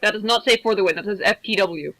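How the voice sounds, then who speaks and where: A man's voice: high voice, fast talker, bright, forceful tone, no echo. A man chatting casually as a guest commentator, offhand and noncommittal, given to quibbling. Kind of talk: casual chat.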